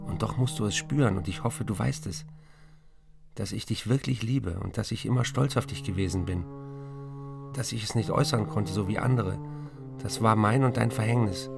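An actor playing a troubled writer who pleads with his estranged wife, reading a confessional, measured letter in a radio play. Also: on the low side, vocal fry, music in the background. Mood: earnest, remorseful.

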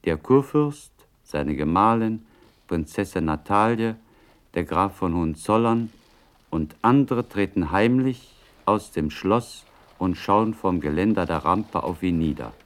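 A male narrator with a lowish voice reads stage directions for a radio drama. His delivery is slow and scripted. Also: lively intonation.

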